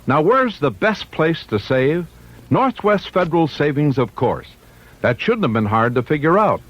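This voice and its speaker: A man's voice: on the high side, big pitch swings, normal speed, fairly bright tone. A man reading a scripted advertisement as a vintage radio commercial announcer. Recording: dull vintage radio recording.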